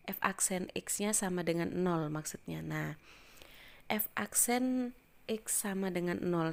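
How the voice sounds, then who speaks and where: A woman's voice: on the low side, a little mellow. A woman math teacher explaining step by step in an informal tutorial style.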